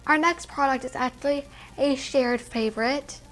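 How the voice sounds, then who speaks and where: A woman's voice: sits high, moderate pace, a bit bright, soft background music, low-quality recording. A young woman casually and enthusiastically reviewing her favorite skincare and makeup products at home. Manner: enthusiastic, gushing about products. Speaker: beauty vlogger, daughter. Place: indoor home room with string lights.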